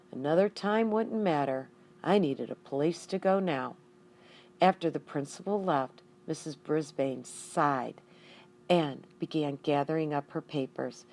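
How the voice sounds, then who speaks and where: A woman reading a children's story aloud in an expressive, deliberate manner with emphatic stresses. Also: sits low. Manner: deliberate, with emphatic repeated words. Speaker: audiobook reader.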